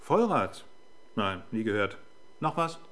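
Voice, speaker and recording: An actor playing a dry, matter-of-fact police forensic doctor in a German radio crime drama. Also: male voice, fairly low pitch, big pitch swings, slow pace, a bit bright, exaggerated voice. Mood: wry, matter-of-fact.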